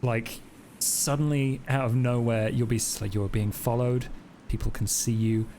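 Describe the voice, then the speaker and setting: A man's voice: mid-range voice, steady tone, moderate pace, warm tone, slight background noise. A man narrating a tabletop roleplay game as game master in a casual style.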